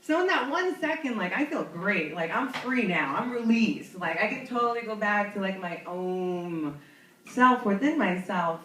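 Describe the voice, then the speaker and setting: A woman's voice: mid-range voice, animated, unhurried, a bit bright, slight background noise. Chatty woman comic in a roomy club. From New York. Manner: chatty, self-mocking, laughs through her punchlines. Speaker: stand-up comedian. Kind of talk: stand-up comedy storytelling. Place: comedy club stage. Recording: roomy club, mic from a distance.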